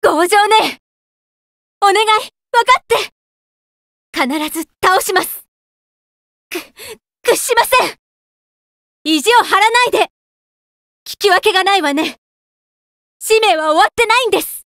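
A woman voicing a devout young female warrior in a fighting game, polite and earnest, with sharp battle cries. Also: very high-pitched, unhurried, long pauses, dry recording, studio quality, heavily acted. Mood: pleading. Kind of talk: game voice lines, battle shouts.

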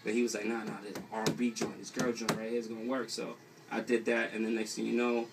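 Young guy w a new york accent, gravelly, slangy casual chat, kinda far from the mic. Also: lowish voice, completely flat delivery, fast talker. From New York City, US. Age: young adult. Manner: slangy, informal. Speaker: rapper, interview guest. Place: small radio studio. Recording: off-mic with faint noise.

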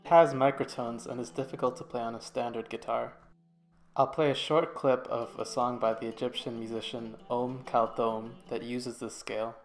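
A young adult man narrates a student project demonstration as a voice-over. His pitch sits in the middle for a man, and his phrasing is informal and a little tentative. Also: fairly even intonation, moderate pace, over music. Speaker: student presenter. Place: voice-over to slides.

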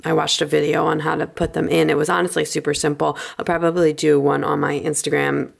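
A woman chatting informally through a casual craft flip-through in a rambling way, slightly hoarse from a cold. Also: deep voice, flat delivery, brisk. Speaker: craft video creator.

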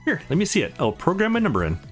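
Man putting on a high, sweet young woman. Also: huge pitch swings, very fast, soft background music. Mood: cheerful, friendly. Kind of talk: visual novel character read aloud.

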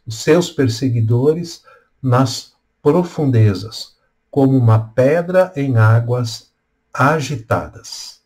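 Slow, husky older Brazilian man. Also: mid-range voice, darkish tone. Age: older, around 60s. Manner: measured, deliberate phrasing with pauses. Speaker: Lutheran pastor. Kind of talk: devotional talk with scripture reading and prayer. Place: small room at home or office, indoors. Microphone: webcam at desk distance.

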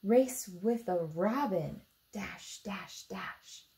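A woman in her thirties with an American accent, reading a picture book aloud to young children expressively, pausing to ask them simple questions. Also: medium pitch, dark tone. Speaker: storytime reader for children. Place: indoor room beside a book display.

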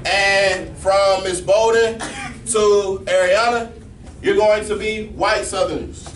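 High-voiced teacher in an echoey classroom. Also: male voice, steady tone, slow pace. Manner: directive, checks in with "all right?". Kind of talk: classroom instruction. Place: large classroom with students. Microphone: far camera at back of room. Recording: echoey classroom from far off, noisy.

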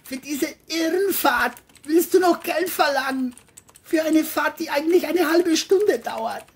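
An exasperated, high-pitched man. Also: unhurried. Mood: furious. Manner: exclamatory, berating. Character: angry, scheming man, exasperated and loud.

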